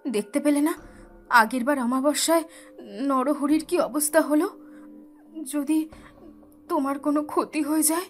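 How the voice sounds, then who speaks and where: A woman's voice: high voice, steady tone, measured pace, pauses often, soft background music. A woman playing an anxious village wife who pleads with her husband in an audio story. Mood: worried, pleading. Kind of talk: Bengali audio story acting.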